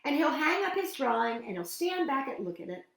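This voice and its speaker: Very lively, high-voiced woman reading to children. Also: a little fast, roomy sound, a little hiss or hum. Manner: animated, playful, chatty asides to young listeners. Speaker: children's storytime host. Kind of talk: picture-book read-aloud for young children.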